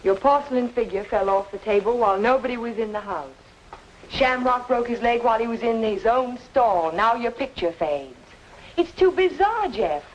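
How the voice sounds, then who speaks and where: An actress playing an earnest, insistent woman in an old film noir drama, speaking emphatically and deliberately. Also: fairly high pitch, expressive, lo-fi sound, close to an everyday voice. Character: earnest, insistent woman reasoning with a troubled man. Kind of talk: mid-century film noir drama acting. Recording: noisy old film soundtrack, hissy.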